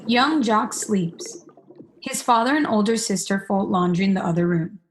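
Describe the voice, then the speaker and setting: A woman's voice: medium pitch, expressive, normal speed, very noisy, natural voice. A young woman reading stage directions as scripted narration for a radio play. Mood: descriptive. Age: young adult.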